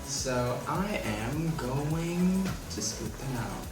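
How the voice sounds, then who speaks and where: A man's voice: medium pitch, animated, drawn-out words. A young man from Hong Kong chatting playfully while he cooks in his small apartment. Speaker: vlogger, home cook. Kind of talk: casual cooking vlog chat. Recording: echoey room with music behind.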